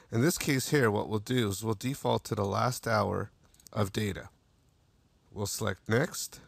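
A man narrating a screen-recorded software tutorial, walking through each step in a steady way with small restarts. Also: lowish voice, gravelly, no room sound.

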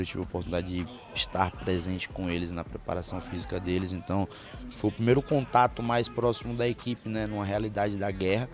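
Confident young fighter, lowish voice. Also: male voice. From Brazil, Bahia. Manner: confident, hypes himself up. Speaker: MMA fighter. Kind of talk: casual interview. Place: press backdrop indoors. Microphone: handheld mic held by interviewer.

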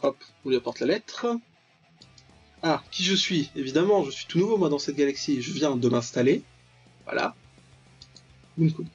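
Guy commentating a visual novel, kinda high voice, lo-fi muffled sound, faint music behind. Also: animated, moderate pace, pauses often, breathy edge. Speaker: let's play host. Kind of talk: playful game commentary, reading visual novel text aloud. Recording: dull lo-fi mic with music behind. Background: game music.

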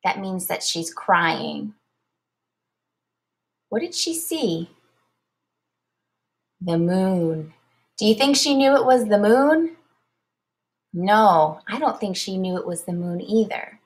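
A woman reading a children's storybook aloud like a teacher, expressive and deliberate. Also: mid-range voice.

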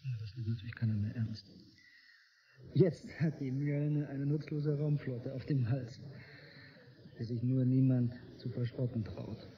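An actor playing a bookish, idealistic male historian in a radio play, with a measured, gently condescending lecturing manner. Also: mid-range voice, steady tone, close to an everyday voice. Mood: explaining, amused. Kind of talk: German radio play dialogue. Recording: muffled, noisy old radio drama recording.

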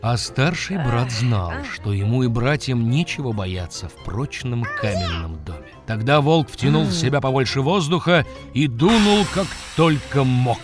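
A storyteller narrating a scripted fairy tale for children in an expressive, dramatic manner. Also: medium pitch, moderate pace, hardly any pauses. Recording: music behind the voice. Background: music.

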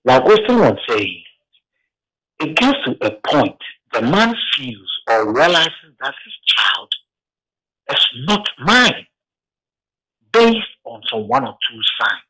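Animated man calling in. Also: a little high, husky, low-quality recording. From Ghana. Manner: heavy, halting account with restarts. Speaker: caller. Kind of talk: phone-in call to a morning radio show.

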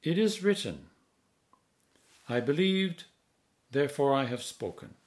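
Slow, expressive older man reading scripture. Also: on the high side. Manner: measured, solemn, deliberate phrasing. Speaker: Anglican priest reading scripture. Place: home study, indoors.

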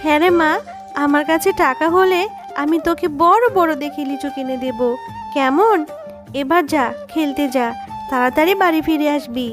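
Woman voicing a very high-pitched, gentle cartoon mother. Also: moderate pace, over music, close to an everyday voice. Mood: tender. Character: poor widowed fox mother, gentle but worried. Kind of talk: Bengali children's cartoon dubbing.

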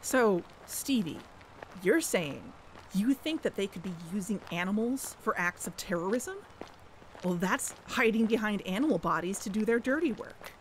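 A woman's voice: mid-range voice, expressive, moderate pace, slight background noise, natural voice, poor audio. A woman playing an earnest, suspicious amateur investigator hosting a podcast in a scripted audio drama. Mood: grave, suspicious. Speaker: podcast host.

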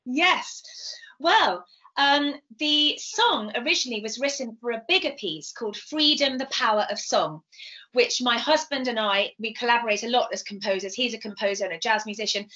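A middle-aged British woman explaining things in an interview over a video call, speaking in an animated, emphatic, passionate way. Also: a little high, moderate pace. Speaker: composer and singer being interviewed. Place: indoors, home room. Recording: slightly dull video call audio.

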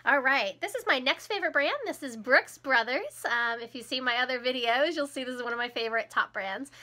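A woman's voice: sits high, a little fast, bright, forceful tone. A woman in her thirties, a little froggy from a cold, chatting casually and cheerfully at home to a close camera, laughing often. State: recovering from a cold, a bit hoarse. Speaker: resale vlogger. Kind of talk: casual chat. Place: small room at home, indoors.

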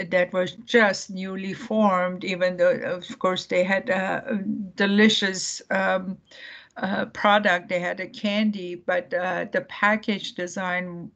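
A woman from Iran giving a presentation over a video call, speaking haltingly with many fillers and restarts. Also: fairly low pitch, creaky, a bit bright, dull audio. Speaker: guest speaker.

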